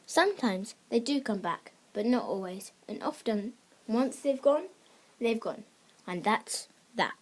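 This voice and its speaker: A woman's voice: fairly high pitch, expressive, unhurried. A woman hosting a scripted lesson for children as a creative writing teacher, speaking in simple, encouraging terms. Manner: simple, encouraging address to young listeners. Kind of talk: scripted instructional narration for children.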